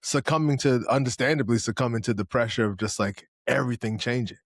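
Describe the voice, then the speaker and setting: A man hosting a podcast, asking curious questions in a halting way, repeating words as he starts. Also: medium pitch, dry recording, studio quality. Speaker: podcast host. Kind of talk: casual interview questions.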